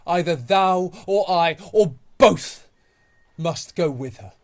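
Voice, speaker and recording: A man's voice: high-pitched, expressive, takes it slowly, no echo, clean studio sound, heavily acted. A man playing a passionate, remorseful young lover turned avenger, speaking verse earnestly in a Shakespeare audio drama. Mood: vengeful. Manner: earnest, declamatory verse delivery.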